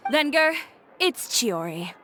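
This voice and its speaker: An actress playing a self-assured, impatient fashion designer in a video game, cool, direct and dismissive. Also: female voice, fairly high pitch, sing-song, slow, background noise. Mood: blunt, impatient. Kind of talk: video game character acting. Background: music.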